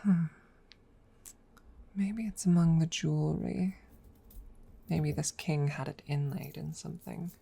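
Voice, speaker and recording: A woman voicing a haughty, centuries-old dragon rival who is secretly smitten, speaking haltingly in a close-miked ASMR roleplay. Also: deep voice, creaky, dark tone, husky, exaggerated voice. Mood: guarded, hesitant. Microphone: close microphone.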